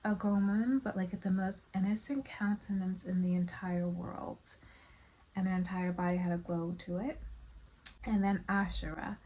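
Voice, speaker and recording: A woman vlogger confiding her personal story to viewers in a casual, halting, rambling monologue. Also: mid-range voice, fairly even intonation, warm tone, low-quality recording. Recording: clear background noise.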